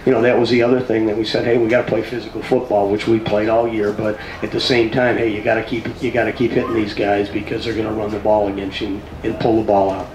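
Low-voiced middle-aged male coach, roomy. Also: little pitch movement, fast talker, hardly any pauses. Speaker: head football coach. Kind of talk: post-game press conference answers. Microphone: handheld microphone. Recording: roomy tent sound with clear noise.

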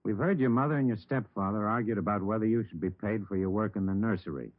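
An actor playing a middle-aged, steady police captain with an American accent in a 1950s radio drama, measured and authoritative. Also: male voice, on the low side, no room sound, lightly acted. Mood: probing, calm. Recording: dry, narrow-band vintage radio recording.

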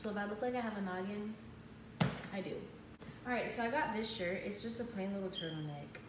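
A woman's voice: medium pitch, fairly quick, far from the mic. A young woman chatting playfully through a clothing haul in her living room, with casual slang. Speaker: vlogger. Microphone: built-in camera mic from across the room. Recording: echoey room, voice off-mic, ambient noise.

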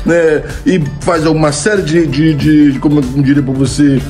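Brazilian man in his 50s, high-pitched, chatty comic vlogger, close phone mic. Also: fairly even intonation, unhurried, darkish tone, soft background music. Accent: Brazilian Portuguese. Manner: chatty, laughs at his own jokes, keeps adding "né?". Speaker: comedy content creator answering viewer questions. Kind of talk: casual Q&A monologue. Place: home room, indoors. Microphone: old phone camera, close framing.

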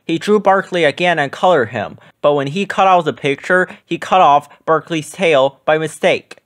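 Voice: medium pitch, moderate pace. A storyteller reading a children's picture book aloud as scripted narration.

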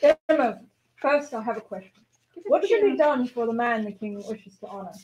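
High-voiced commanding king played by a woman. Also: normal speed, a little mellow, close to an everyday voice, low-quality recording. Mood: regal, commanding. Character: restless, commanding king in a Purim radio play. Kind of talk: amateur radio play acting.

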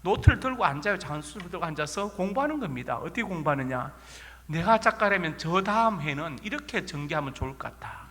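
A male pastor with a Korean regional accent preaching from the pulpit, his voice bright and forceful, pitched a little high. He tells homely stories and keeps firing rhetorical questions at the congregation. Each line rings out with the long reverb of a church sanctuary. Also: expressive, fast. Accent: Korean regional dialect. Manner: folksy storytelling with rhetorical questions. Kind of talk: sermon.

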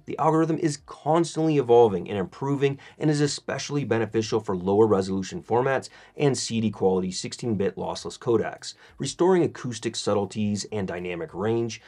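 A man presenting a scripted product review as narration, in a measured, methodical way. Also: on the low side, lively intonation, darkish tone.

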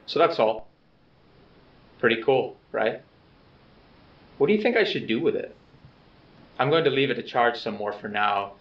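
A man playing an awkward, earnest person recording a low-key video letter to someone absent, speaking haltingly and softly self-effacing. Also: animated, lightly acted. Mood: hesitant, subdued. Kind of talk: low-key found-footage video message monologue. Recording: dull, echoey, distant playback with music.

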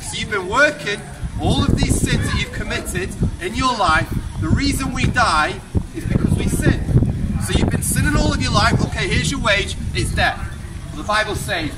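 A young man preaching loudly and emphatically to passers-by in an open-air town square, asking the crowd one question after another. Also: very high voice. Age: young adult. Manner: loud, emphatic, projecting to passers-by; asks the crowd repeated rhetorical questions. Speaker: street preacher. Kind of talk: open-air street sermon. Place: outdoor town square, busy pedestrian street. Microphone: far camera on a tripod. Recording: distant open-air recording, loud street noise. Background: loud street ambience and passing traffic.

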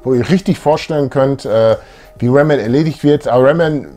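A man in his thirties co-hosts a fan show in casual, colloquial speech. His voice is medium in pitch, and he talks with eager enthusiasm. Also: brisk, warm tone. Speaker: co-host of a retro fan show. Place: small indoor room full of collectibles.

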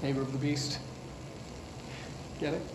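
An actor playing an awkward middle-aged American scientist presenting a research film in a game, halting and professorial. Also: male voice, fairly high pitch, fairly even intonation, lightly acted. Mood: earnest, awkward. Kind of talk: retro educational film presentation. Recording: echoey old film audio, clear noise.

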